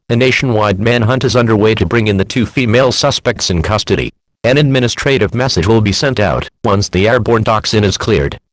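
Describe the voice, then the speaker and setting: Male actor as official emergency broadcast announcer, fairly low voice, authoritative, formal, impersonal scripted read. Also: dry recording, poor audio.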